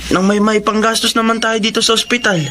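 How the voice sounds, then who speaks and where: A man's voice: high-pitched, little pitch movement, fast, pushed and bright, a little hiss or hum. A man in a Filipino radio drama playing a worried, respectful young grandson and older brother.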